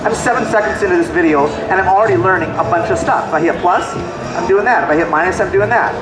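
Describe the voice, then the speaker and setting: A middle-aged man, a software product specialist, giving a chatty, self-deprecating demo clinic at a trade show on a handheld mic. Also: sits high, fast talker. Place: large convention hall. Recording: echoey hall with music behind.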